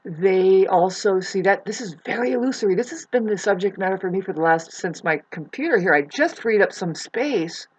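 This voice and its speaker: A woman with a husky voice talking casually in a meandering, halting monologue, often trailing off mid-thought. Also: medium pitch, lively intonation, low-quality recording. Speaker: vlogger. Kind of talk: rambling spoken monologue, casual chat.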